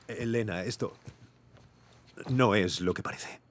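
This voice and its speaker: A man's voice: lowish voice, slow pace. An actor playing a guilty, stubborn adventurer in his thirties in a dubbed game, hesitant and pleading, with a Castilian Spanish accent. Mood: sheepish, explaining. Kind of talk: dubbed video game cinematic acting.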